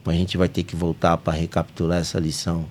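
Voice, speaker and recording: A man's voice: sits low, little pitch movement, fast, darkish tone. A Brazilian man with a Brazilian Portuguese accent hosting a spiritist study podcast, talking earnestly and rising to emphatic peaks. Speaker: podcast host.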